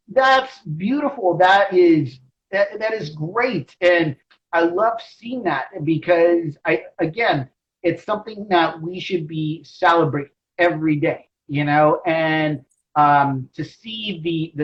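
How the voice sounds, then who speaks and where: A man hosting a casual interview, speaking in a halting way and often repeating his phrases. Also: on the high side, muffled recording. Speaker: podcast host, interviewer.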